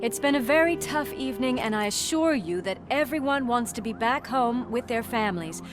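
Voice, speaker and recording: An actress playing a female police officer who speaks calmly and reassuringly in a video game. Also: a little high, normal speed, music in the background, lightly acted. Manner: calm, reassuring. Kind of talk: video game cutscene acting.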